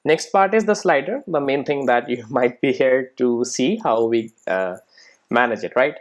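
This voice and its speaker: A man with a medium-pitched voice teaches in a screencast tutorial. His intonation is lively and moves up and down a lot. He explains step by step without a script, often tagging his phrases with short checking questions. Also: normal speed. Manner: checks understanding with frequent tag questions. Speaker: programming tutorial instructor.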